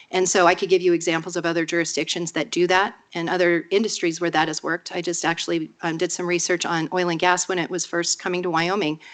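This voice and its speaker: A woman with a cold and a nasal voice, speaking in a measured, persuasive way during public comment at a podium microphone in a council chamber. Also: fairly low pitch, fairly bright tone.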